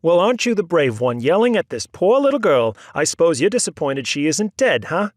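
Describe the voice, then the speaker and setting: A man playing a stout, scolding aunt, loud and shrill with biting sarcasm. She sounds indignant and protective, recorded dry and close. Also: big pitch swings, fairly quick, no room sound.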